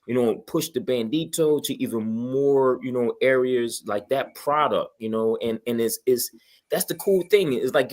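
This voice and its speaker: A man chatting casually and enthusiastically, pitching with emphasis and slang and often checking that listeners follow. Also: fairly bright tone. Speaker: guest promoting a toy radio station.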